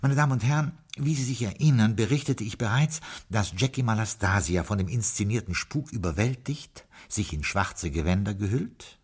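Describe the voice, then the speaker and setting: An actor playing a proper, deferential butler who also narrates the story to the audience in a 1950s German radio drama. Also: male voice, low-pitched, husky. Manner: deferential, hesitant, wry. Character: dry, proper English butler.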